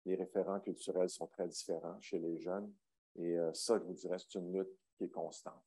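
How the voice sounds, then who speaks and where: A man's voice: low-pitched, fairly even intonation, moderate pace, dark tone, breathy edge. A man speaking on a panel, hesitant with many fillers. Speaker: broadcaster panelist. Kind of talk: panel talk.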